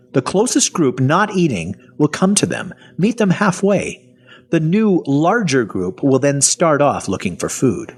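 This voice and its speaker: A man acts out a sardonic half-zombie survivor narrating his own tale in an audio drama. His voice is husky and moves up and down freely. The delivery is colloquial and cynical. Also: mid-range voice. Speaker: voice actor and narrator. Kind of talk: first-person audio fiction narration and dialogue.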